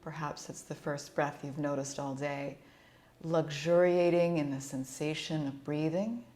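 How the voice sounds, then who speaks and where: A woman leading a guided yoga relaxation as an instructor, speaking in a soothing, gentle and unhurried way. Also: deep voice, steady tone.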